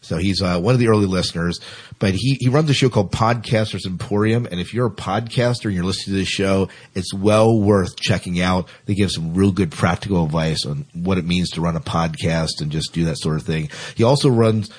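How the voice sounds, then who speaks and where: Husky low-voiced man hosting casually. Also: a little fast. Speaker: podcast host. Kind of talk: casual chat.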